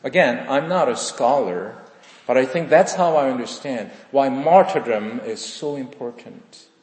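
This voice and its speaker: A man from South Korea with a Korean accent, preaching a sermon in earnest, rising for stress and dropping low at the end of phrases. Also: animated, unhurried, a little mellow. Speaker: pastor. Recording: echoey hall with long reverb.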